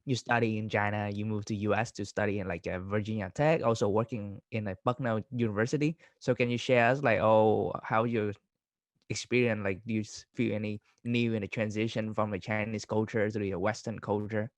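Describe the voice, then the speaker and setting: A man from Vietnam, hosting an interview and asking questions haltingly, full of "like" and "you know". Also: on the low side, steady tone, no room sound. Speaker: interviewer, host. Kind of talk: casual interview.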